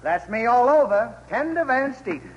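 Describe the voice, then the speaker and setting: A man's voice: very high-pitched, big pitch swings, moderate pace, highs cut off. A man playing a daffy, grumbling bandleader stooge in 1930s radio comedy, on an old broadcast recording.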